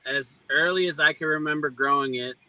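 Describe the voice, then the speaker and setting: A man from the United States talking in a relaxed, easygoing way as a guest on a casual live chat. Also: on the high side, a little fast, no echo, poor audio.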